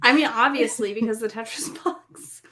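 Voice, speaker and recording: A woman's voice: on the high side, normal speed, a crowd in the background. A woman chatting and bantering casually with a group of friends.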